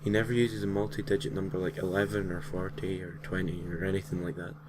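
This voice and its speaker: A man's voice: low voice, little pitch movement, quick pace, noisy background. A man narrating a gaming story in an informal way, reading from a script with casual, slightly hesitant asides. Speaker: host and narrator of a gaming creepypasta series.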